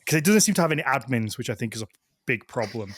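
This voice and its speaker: A man's voice: medium pitch, lively intonation, fast, a bit bright, husky, no echo, studio quality. A man co-hosting a podcast, chatting casually in a rambling way full of filler words and acted-out quotes. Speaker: podcast co-host. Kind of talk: casual chat.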